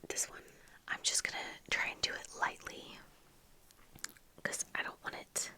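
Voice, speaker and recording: A young woman whispering in a casual ASMR get-ready-with-me chat, breathy and halting, close to the microphone. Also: bright, forceful tone. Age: young adult. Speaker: ASMR video creator. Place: small indoor room at home with bookshelves. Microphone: fluffy-covered microphone close in front, earphones on.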